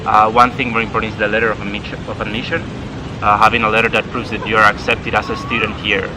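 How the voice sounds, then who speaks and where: A man's voice: on the low side, flat delivery, fast. A young man from Spain, an exchange student chatting casually and filling his talk with "like". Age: young adult. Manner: chatty, many "like" fillers. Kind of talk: casual chat. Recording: outdoor traffic and boat noise.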